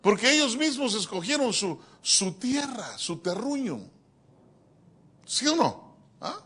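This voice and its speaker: A man preaching a sermon as a pastor, animated and joking, often addressing his listeners as brother. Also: extremely high pitch, slow pace, breathy edge. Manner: animated, joking, keeps calling listeners "hermano". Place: church.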